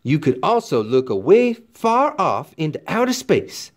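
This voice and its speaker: A man reads a children's tall tale in a fairly high, very lively voice that rises and dips freely. His delivery is folksy and expressive, leaning on key words for dramatic effect as a classroom read-aloud narrator would. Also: a little slow. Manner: animated, folksy storytelling with dramatic emphasis.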